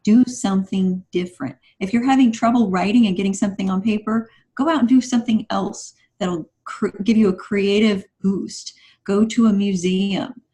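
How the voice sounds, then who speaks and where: A woman's voice: mid-range voice, dark tone. Encouraging woman giving emphatic advice. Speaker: guest writer on a podcast. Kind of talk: motivational advice in conversation.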